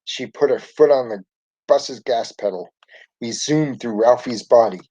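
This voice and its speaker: A man reading a picture book aloud for children in an expressive storytelling style. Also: mid-range voice, a little slow, no room sound. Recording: dull lo-fi home recording.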